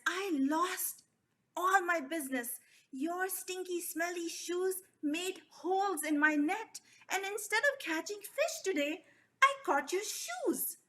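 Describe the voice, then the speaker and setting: Woman playing a furious shouting fisherman. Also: very high-pitched, pitched above the performer's own voice, exaggerated voice. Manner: angry, loud and accusing.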